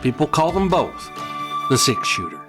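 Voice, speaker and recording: A man giving measured, poetic narration for an old-time Western radio play. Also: mid-range voice, wildly swooping pitch. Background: guitar music.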